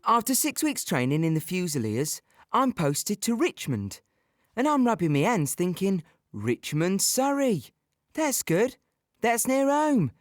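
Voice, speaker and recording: Devout young British soldier, close and dry. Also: male voice, high-pitched, dry recording. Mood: wry. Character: devout young British infantryman, dutiful and resigned. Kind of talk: dramatic monologue recounting wartime memories in present tense.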